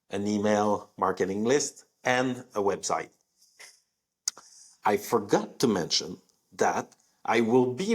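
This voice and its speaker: A young man who speaks French as his first language, with a French accent, talking casually on his live podcast with many fillers. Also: lowish voice. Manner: conversational, many fillers, rising emphasis on key words. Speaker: podcast host.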